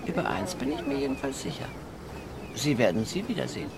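An actress playing a worldly, wistful older lady in a German radio drama, speaking formally with a gently teasing manner. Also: very deep voice, sing-song, brisk, exaggerated voice. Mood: knowing, teasing. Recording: clear background ambience noise.

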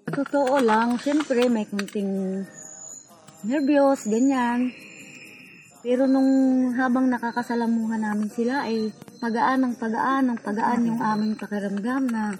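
A woman's voice: fairly high pitch, steady tone, a little slow, long pauses, a little mellow, soft background music, rough recording. A woman in her late twenties with a Filipino accent, giving a personal testimony. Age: 20s. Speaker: member of a rural organization giving a testimonial.

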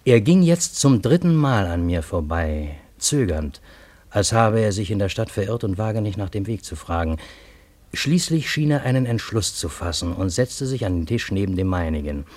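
An actor playing a wry, reflective man who narrates his own story in a radio play, with measured, literary phrasing. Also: on the low side, sing-song, darkish tone, no room sound. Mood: observant storytelling. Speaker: first-person narrator.

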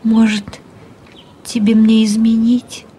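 Loving wife in a radio play, highish voice, takes her time, tender n dreamy. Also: completely flat delivery, slow pace, dark tone, slight background noise. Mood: tender, wistful. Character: loving, wistful, girlishly dreamy wife of an academic. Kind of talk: audio drama acting.

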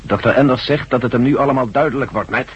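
A man acting as an impatient, urgent army general in a radio drama, with a husky voice. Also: mid-range voice, fast. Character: impatient male army general relaying messages. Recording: dull lo-fi radio recording.

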